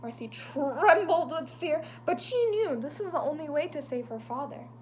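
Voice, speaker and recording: A fairly high-voiced woman reads a picture book aloud to children, keeping her voice animated and dropping into hushed whispers at the suspenseful moments. She breaks off for friendly asides to her young listeners, and a faint hum sits behind her. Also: normal speed. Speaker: librarian reading to children.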